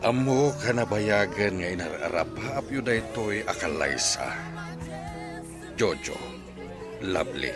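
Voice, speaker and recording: A man's voice: deep voice, lively intonation, measured pace, long pauses. A man with an Ilocano accent playing a formal, gracious wealthy patriarch in a radio drama. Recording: music playing clearly behind.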